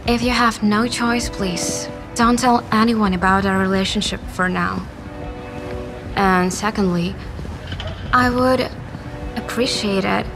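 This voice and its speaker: A jilted young bride in an audio romance drama, determined and forthright yet polite. Her voice is medium in pitch, with music playing clearly behind it.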